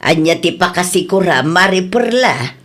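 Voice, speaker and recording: Low-voiced, outspoken neighbour woman. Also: animated, moderate pace, a bit bright. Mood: concerned. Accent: Ilocano. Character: sympathetic, outspoken older female neighbour friend. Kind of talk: Ilocano radio drama acting.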